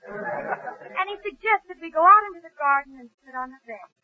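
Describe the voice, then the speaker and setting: A woman's voice: very high voice, lively intonation, moderate pace, no echo, some chatter behind. An actress playing a naive, lovestruck young woman seeking advice in a 1940s radio comedy, speaking earnestly. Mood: dreamy. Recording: muffled vintage radio broadcast.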